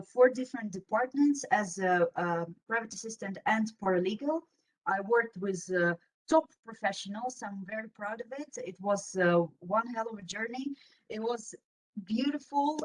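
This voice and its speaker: Young woman, Russian accent, native Russian speaker, medium pitch, informal career presentation, online panel speaker. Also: rough recording. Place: online video meeting with screen sharing.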